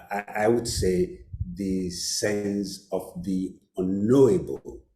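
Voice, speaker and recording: Male author at a panel, slow and deliberate, dark low voice, halting delivery, echoey room. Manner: halting, repeats words. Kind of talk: academic panel answer.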